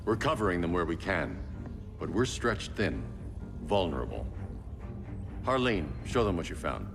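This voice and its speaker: Grim vigilante leader: deep, stern, terse, with a reverberant sound. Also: male voice, big pitch swings, normal speed, creaky, over music, heavily acted.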